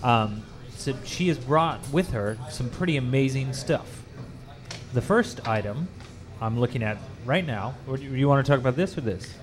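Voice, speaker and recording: A man's voice: mid-range voice, animated, moderate pace, a crowd in the background. A man hosting a radio interview, asking casual and curious follow-up questions in an informal, loose way. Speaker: radio host. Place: public fair.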